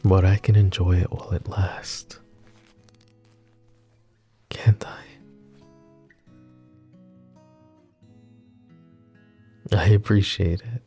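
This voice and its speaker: A man playing a flirty, possessive mafia boss who is smitten and vulnerable, speaking in soft, teasing, intimate ASMR roleplay. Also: sits low, level tone, measured pace, soft background music. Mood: wry, affectionate. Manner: soft teasing banter with breathy chuckles.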